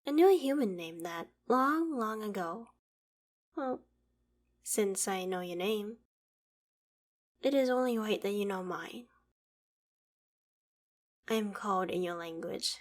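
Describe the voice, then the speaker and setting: A woman playing an ancient female dragon in an ASMR roleplay, proud and curious, speaking in a measured, formal, archaic way. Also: mid-range voice. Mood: warm, polite. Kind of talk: ASMR roleplay audio drama, addressing the listener.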